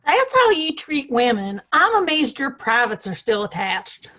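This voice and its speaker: A woman acting a defiant, sharp-tongued saloon woman in a western audio play, full of mocking jibes. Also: high-pitched, very animated, a little slow, full character voice. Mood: scornful.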